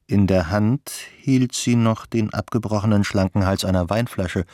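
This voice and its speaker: An actor playing a wry narrator, delivering literary, mock-solemn narration in a radio drama. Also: male voice, fairly low pitch, fairly even intonation, normal speed, a little mellow, no echo, studio quality, lightly acted. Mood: detached. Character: wry, melodramatic narrator of a dark comedy.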